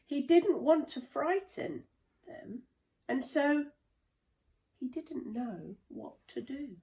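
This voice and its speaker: A woman reading a children's storybook aloud in a deliberate, dramatic storytelling manner. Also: high-pitched, expressive, dark tone. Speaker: play therapist reading a children's book. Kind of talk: expressive children's storybook narration.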